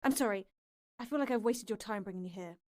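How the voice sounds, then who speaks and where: An actress playing an earnest, desperate young woman in an audio drama, hesitant and excitable. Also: medium pitch, quick pace, lightly acted. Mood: hushed, then excited.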